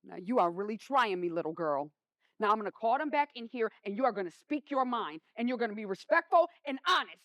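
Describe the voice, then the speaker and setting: A woman's voice: a little high, animated, brisk, no echo, close to an everyday voice. A woman playing a stern, proud mother in a stage play, with a commanding and pointed way of talking. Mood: angry, threatening. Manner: commanding, pointed, formally clipped.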